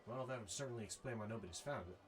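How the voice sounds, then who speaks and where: Casting: male actor for wary job-for-hire drifter, low-pitched, dry and conversational. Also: very fast, soft background music, natural voice. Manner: casual, skeptical, conversational. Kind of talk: naturalistic film dialogue.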